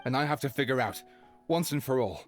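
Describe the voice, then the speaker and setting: A man voices an earnest detective from a game, driven to find the truth. His delivery is theatrical and very lively, rising and falling over background music. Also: mid-range voice, a little fast, close to an everyday voice. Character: remorseful idealistic detective culprit, earnest truth-seeker. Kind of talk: scripted game voice acting. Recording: music under the voice.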